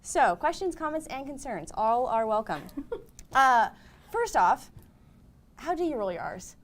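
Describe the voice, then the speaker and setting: A woman's voice: sits high, big pitch swings, a little slow. A woman producer asking a guest questions on a live show, bubbly and giggly.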